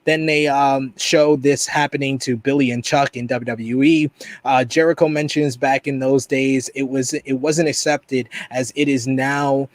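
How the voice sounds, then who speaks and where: A man's voice: mid-range voice, steady tone, measured pace, no room sound. Chatty New York man reviewing a show. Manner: conversational, rambling with fillers. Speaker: podcast co-host. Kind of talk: casual review chat.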